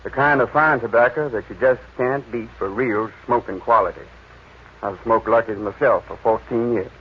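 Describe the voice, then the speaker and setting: A man's voice: mid-range voice, moderate pace, breathy edge. A man giving a scripted testimonial in a 1940s radio commercial. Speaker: tobacco warehouseman giving a testimonial. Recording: muffled 1940s radio recording.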